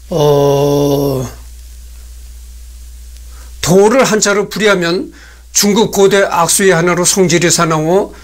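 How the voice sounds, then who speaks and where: A man in his sixties with a high voice talks alone at a desk in a small room. He speaks slowly and haltingly, with many fillers and restarts, as he reads notes from a screen. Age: 60s. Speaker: host. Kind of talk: solo talk reading notes on a screen.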